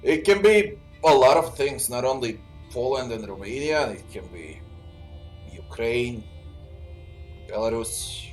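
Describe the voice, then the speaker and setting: Slow-talking man narrating a game. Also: mid-range voice, lively intonation, soft background music. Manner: musing, talks to himself. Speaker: gamer. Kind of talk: let's play commentary.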